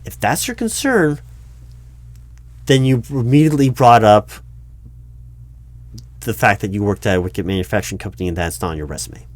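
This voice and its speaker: A man playing a baffled, exasperated recruiting manager in a one-sided ASMR job interview roleplay, speaking aloud with halting, repeated words. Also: fairly low pitch, expressive, no room sound, natural voice. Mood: puzzled, probing. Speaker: roleplay performer.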